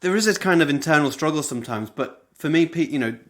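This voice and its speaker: A man hosting a podcast about singing, talking in a thoughtful, unhurried way with frequent "you know" and "um". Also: a little high, animated. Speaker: podcast host and choir leader. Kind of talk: casual podcast conversation.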